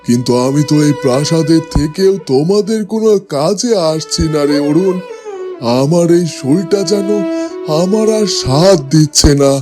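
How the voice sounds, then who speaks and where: A man's voice: fairly high pitch, animated, moderate pace, close to an everyday voice. A man playing a frail, plaintive old king. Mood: weary, sorrowful. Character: frail ailing old king, tender and sorrowful father. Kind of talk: cartoon character dialogue. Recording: loud music bed behind the voice.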